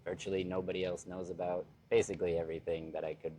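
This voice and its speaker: Low-voiced man chatting loosely. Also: quick pace. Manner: relaxed, rambling, heavy use of "like". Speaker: interview guest, expat living in Vietnam. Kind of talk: casual conversation.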